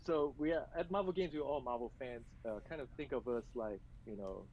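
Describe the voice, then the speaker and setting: A man from Malaysia, answering interview questions over a video call with hesitant pauses. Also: mid-range voice, phone-quality sound, slight background noise. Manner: hesitant, many filler pauses. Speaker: game company director.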